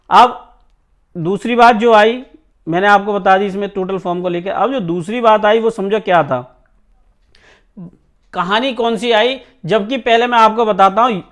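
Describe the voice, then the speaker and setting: Male coaching teacher, notably high voice, emphatic informal explainer. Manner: emphatic, repeatedly checks listeners understand. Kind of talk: informal exam news talk to students.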